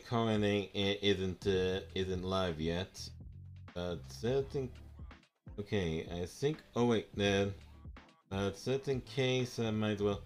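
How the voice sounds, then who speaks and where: A young man with a very deep, low voice chats through a live game stream, stumbling over fillers and restarting words as he goes. Faint music plays under his desk-mic sound in a home room. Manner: halting, many fillers and repeated words.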